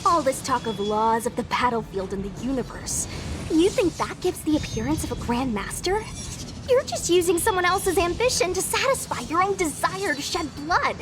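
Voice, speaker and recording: A woman voicing a bold, righteous young swordswoman in a video game, speaking in a defiant, declamatory way. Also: high voice. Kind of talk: dramatic video game voice acting. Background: music.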